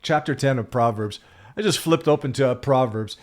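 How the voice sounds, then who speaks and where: A man's voice: mid-range voice, normal speed, darkish tone. A man, talking in a reflective solo video, rambling and correcting himself, candid and emphatic at times. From Canada. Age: 60s. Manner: rambling, with frequent self-corrections and fillers. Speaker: spiritual vlogger.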